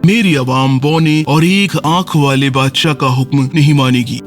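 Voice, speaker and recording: A man's voice: mid-range voice, soft background music, close to an everyday voice. Stern fairy-tale king, acted by a man. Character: stern king rejecting his elder son. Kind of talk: children's cartoon storybook.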